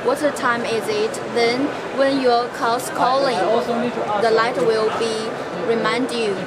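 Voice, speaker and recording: A young woman with a Chinese accent answers product questions in short, halting non-native English as a booth representative. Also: on the high side, steady tone, a loud crowd. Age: young adult. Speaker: company representative at a trade show booth. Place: busy indoor exhibition booth. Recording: noisy, crowded trade show hall.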